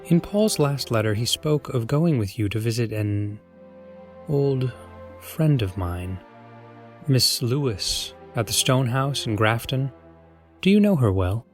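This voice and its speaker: A man playing a courteous middle-aged father in a dramatized audiobook, formal and measured. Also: mid-range voice, lively intonation. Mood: guarded interest. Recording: dry voice with music under it.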